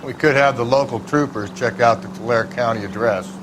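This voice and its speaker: An American man playing a gruff, streetwise veteran detective in a video game, blunt and world-weary. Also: medium pitch, fairly quick, very noisy, lightly acted. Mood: stern, skeptical.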